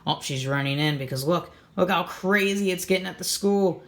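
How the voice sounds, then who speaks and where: An expressive storybook reader doing an animated read-aloud for children, explaining and asking questions along the way. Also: mid-range voice, moderate pace.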